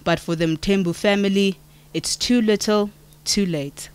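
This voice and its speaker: A woman reading a scripted television news report in a measured, formal way. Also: mid-range voice, steady tone, slight background noise. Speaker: field reporter.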